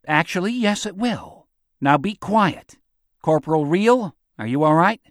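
A gruff, hot-tempered military chief, acted out by a male narrator. He speaks in curt, menacing barks at a slightly high pitch, recorded very dry, as in an audiobook. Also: expressive, unhurried, dry recording. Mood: angry, threatening.

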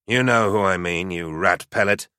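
A man's voice: low-pitched, moderate pace, bright, forceful tone, smooth, no echo, studio quality. A man voicing a battle-hardened, impatient warrior sergeant with a terse, commanding manner, in an audiobook story.

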